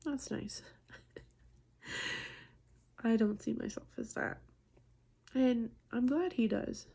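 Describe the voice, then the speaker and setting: Woman, early 30s, husky and soft-edged, for an unhurried, candid, self-deprecating vlog monologue. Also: on the high side. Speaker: nurse and vlogger. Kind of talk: casual personal vlog monologue.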